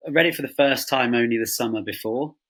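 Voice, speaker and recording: A man's voice: medium pitch, fast, a bit bright, breathy edge. A man talking casually and wryly as a writer being interviewed.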